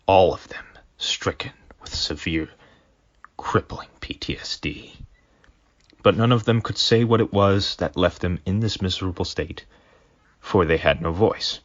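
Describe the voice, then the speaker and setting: A man with a low, husky voice narrates a story in a dry, mock-solemn tone. The recording is a bit dull and muffled, like a cheap home mic. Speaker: fanfic reader.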